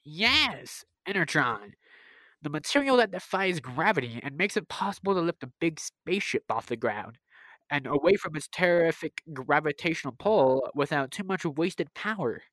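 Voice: mid-range voice, lively intonation, moderate pace, fairly bright tone, dry recording, lightly acted, poor audio. An amateur player acting an eager, proud scientist-inventor in an old-time radio serial, explaining things in wordy technical detail. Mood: lecturing.

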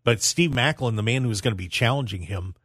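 A man's voice: mid-range voice, quick pace, fairly bright tone, breathy edge, no echo, studio quality. A man hosting a sports talk radio show in a casual, conversational way, addressing his listeners directly.

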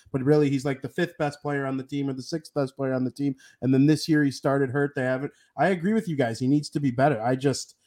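A man's voice: medium pitch, a little mellow. Man making his case in sports chat. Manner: argues his point with stats. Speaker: podcast co-host.

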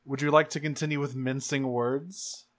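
Guy doing tabletop rpg narration, mid pitch, deliberate, stops mid sentence to think. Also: fairly even intonation. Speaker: player in an actual play tabletop game. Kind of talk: improvised tabletop roleplay and rules reading.

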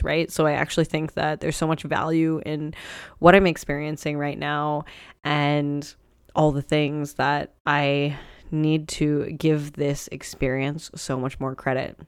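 A woman's voice: low voice, clean tone. A young woman hosting her own podcast, talking frankly and swearing freely in a rambling solo monologue while sniffly from a cold. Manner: frank and heavily profane, rambling with fillers. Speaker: podcast host.

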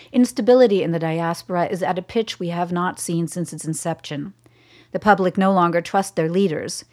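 An actress playing a composed, defiant scientist-advisor who speaks in a cool, precise, unflinching way. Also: female voice, fairly low pitch, darkish tone, close to an everyday voice. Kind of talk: radio drama acting.

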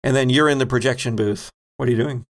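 A man with noticeable fry in his voice acts as game master. He sits at an average male pitch and narrates playfully, improvising as he describes each scene. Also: fairly even intonation, fast talker, noisy background. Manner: descriptive, playful storytelling with pop-culture asides. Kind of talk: improvised narration of a tabletop horror-comedy game.

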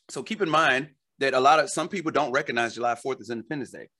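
A man's voice: mid-range voice, a little fast, sharp tone, husky. Jokey man in his forties. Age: 40s. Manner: jokey, drawling storyteller. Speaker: podcast co-host. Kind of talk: casual chat.

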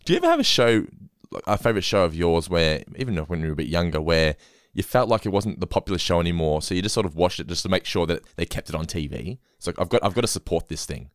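A man hosting a casual podcast chat, talking quickly and laughing often. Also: deep voice, sing-song, no echo, clean studio sound. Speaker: podcast host.

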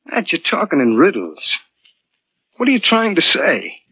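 A man's voice: on the high side, huge pitch swings, measured pace, breathy edge, dry recording, exaggerated voice. A man playing a vigorous, good-natured brother in earnest, placating 1940s radio drama style. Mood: amused, questioning. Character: vigorous, good-natured, puzzled twin brother, talented musician. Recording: muffled, dry 1940s radio broadcast.

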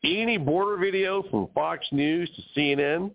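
A man's voice: a little high, moderate pace, gravelly. A man hosting an opinionated call-in radio show, talking emphatically and addressing listeners directly. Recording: narrow phone-like radio sound.

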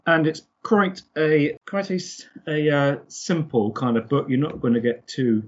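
A man chats casually about books as a podcast co-host. He rambles and hesitates as he searches for words, in a husky voice. The recording is a little dull and lo-fi. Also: on the high side, lively intonation, a little slow. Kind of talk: casual book recap chat.

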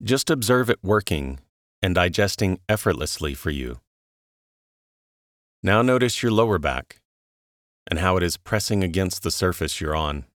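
A man narrating a guided relaxation in a calm, soothing, measured way, leaving long pauses between instructions. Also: low-pitched, no room sound, clean studio sound.

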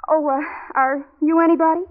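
An actress's eager receptionist in a 1940s radio play. Her voice is high and girlishly starstruck. It comes through a tinny, band-limited vintage recording. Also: steady tone, fairly quick, a crowd in the background, close to an everyday voice. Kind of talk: 1940s American radio drama acting.

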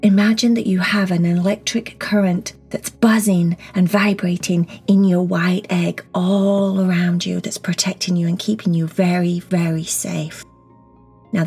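Female meditation guide for children: hushed, husky-breathy delivery with a creaky edge, gentle and reassuring. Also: fairly low pitch. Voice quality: soft, breathy, often near a whisper. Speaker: guided meditation teacher and host. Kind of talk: children's guided meditation, slow soothing instruction. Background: soft background music.

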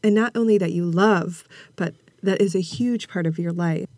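A woman's voice: lowish voice, normal speed, warm tone, no room sound. A woman hosting a podcast, speaking in a presenter's style for introductions and interview talk. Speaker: podcast host. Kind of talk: scripted narration and warm-up interview chat.

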